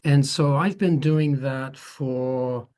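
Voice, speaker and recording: Man, 50s, from the Netherlands, interview guest, thoughtful, rambling, medium pitch. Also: steady tone, takes it slowly, a little mellow. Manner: rambling, thoughtful, with frequent restarts. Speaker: guest photographer. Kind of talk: conversational interview.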